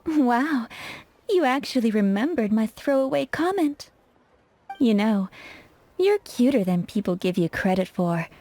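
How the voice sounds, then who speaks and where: A flirtatious perfumer, high mellow voice. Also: female voice, lively intonation, unhurried. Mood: playfully impressed. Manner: coquettish, instructive, poised. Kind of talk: English video game voice acting.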